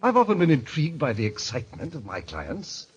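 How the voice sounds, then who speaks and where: An actor playing a suave, sinister gentleman who runs a deadly gambling club, formal and coolly courteous, in old-time radio drama style. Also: on the high side, huge pitch swings, brisk, slight background noise. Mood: coolly affronted. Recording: muffled vintage radio recording.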